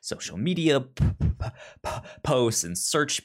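A man's voice: mid-range voice, sing-song, unhurried. Stammering man hosting a casual podcast. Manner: stammering, often repeats syllables and words. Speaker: podcast co-host. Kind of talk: casual book review chat.

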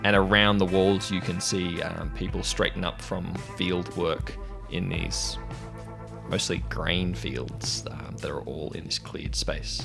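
A man acting as game master, narrating a tabletop roleplaying game in measured, descriptive phrases with mid-sentence pauses. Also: deep voice, fairly even intonation, gravelly, music in the background.

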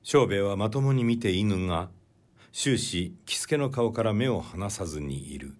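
A man reading classic literary fiction as an audiobook narrator, in a measured, deliberate way. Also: lowish voice, animated, a little mellow.

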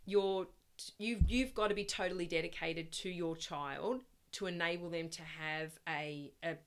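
Woman, middle-aged, with a slightly low, carrying voice; talkative guest who rambles and laughs through her answers. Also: steady tone, unhurried. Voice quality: loud voice that projects. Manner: chatty and rambling, with frequent laughs and restarts. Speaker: guest, parent of the host. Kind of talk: casual interview chat.

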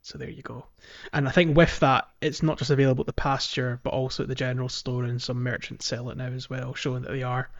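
A man's voice: medium pitch, flat delivery, quick pace, gravelly, hardly any pauses. Guy podcast host, casual game chat, kinda hesitant, slightly muffled lo-fi mic. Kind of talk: casual gaming discussion, recapping a video game.

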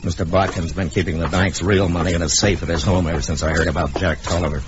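Male actor as stern frontier marshal, low voice, American, terse, commanding, muffled noisy old radio drama. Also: steady tone, fast talker, lightly acted. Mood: watchful. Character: stern, steady, shrewd Old West marshal. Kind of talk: old-time radio Western acting.

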